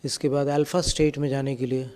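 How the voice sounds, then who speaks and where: A man guiding a meditation as a spiritual teacher, giving calm, gentle, step-by-step instructions. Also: mid-range voice, a little fast. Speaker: Jain monk and meditation teacher.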